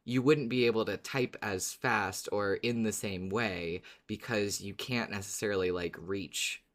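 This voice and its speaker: A woman with a strikingly deep voice chats casually on a podcast panel. She thinks aloud, feeling her way through her phrasing. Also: a little slow. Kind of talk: casual chat.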